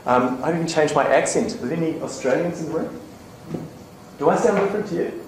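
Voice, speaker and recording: A man presenting at a conference to a room of educators, talking in a chatty, animated way and checking in with the audience with quick "okay?" and "right?" tags. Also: on the high side. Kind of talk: live conference talk to educators. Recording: echoey conference room, faint ambient noise.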